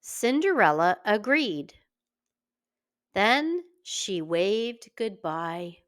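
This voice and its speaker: A woman reading a scripted story aloud for young children in a deliberate, expressive way. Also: mid-range voice. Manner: slow, deliberate, expressive delivery. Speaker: children's story reader and teacher.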